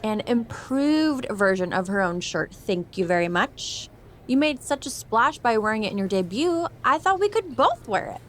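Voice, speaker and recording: Vain, bubbly high-voiced female wrestler. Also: sing-song, normal speed, a little hiss or hum. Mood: proud, smug. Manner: breezy, gushing, passive-aggressive sweetness. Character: vain, bubbly, self-absorbed young female pro wrestler. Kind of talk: video game cutscene acting.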